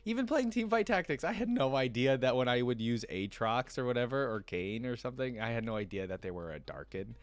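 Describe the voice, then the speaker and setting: A man's voice: medium pitch, expressive, fairly quick, few pauses, no echo. A man hosting a reaction video, talking in a casual, enthusiastic and rambling way.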